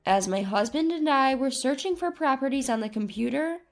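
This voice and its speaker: A woman's voice: high voice, expressive, normal speed. A woman reading a scripted first-person story as narrator. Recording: music bed behind voice. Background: music.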